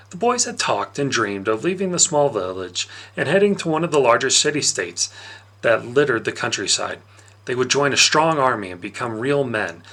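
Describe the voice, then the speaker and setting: Man reading a story aloud with lively pitch. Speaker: reader of an audiobook. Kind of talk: expressive story narration read aloud.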